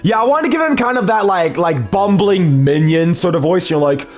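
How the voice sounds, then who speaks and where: Male let's-play dubber with a deep voice, animated delivery, and a loose, filler-heavy way of chatting between game lines. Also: fairly quick, faint music behind. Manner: voices several characters, breaks off to chat about his voices; rambling, filler-heavy when talking plainly. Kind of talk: reading game dialogue aloud, voicing all characters.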